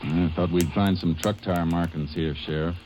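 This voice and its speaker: An actor playing a steady, methodical Texas Ranger detective in an old radio drama, speaking calm and low. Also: male voice, normal speed, faint music behind, natural voice. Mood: level-headed. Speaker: lead actor. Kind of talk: 1950s radio drama acting. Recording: muffled old radio recording.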